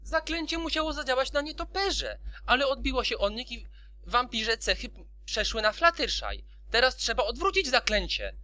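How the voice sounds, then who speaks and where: A man's voice: very high-pitched, sing-song, normal speed, sharp tone, exaggerated voice. A man voicing a brainy, earnest female wizard pony in an amateur cartoon fan dub. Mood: explaining.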